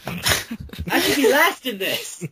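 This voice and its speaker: A woman's voice: on the high side, sing-song, slow, fairly bright tone, husky. A woman joking casually with friends in a group voice chat. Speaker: cast member of a fan voice-acting project.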